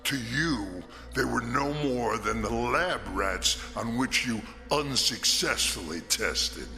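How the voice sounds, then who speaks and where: An actor playing a stern, menacing man of authority in a prison drama, with a forceful, condemning delivery. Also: mid-range voice, expressive, takes it slowly, vocal fry, music in the background. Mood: stern condemnation. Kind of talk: dramatic scripted film acting.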